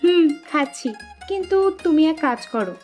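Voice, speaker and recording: A woman voicing a lively, bossy but caring young woman in a cartoon, with a chirpy, insistent manner. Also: sits high, normal speed, soft background music. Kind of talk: cartoon dialogue acting.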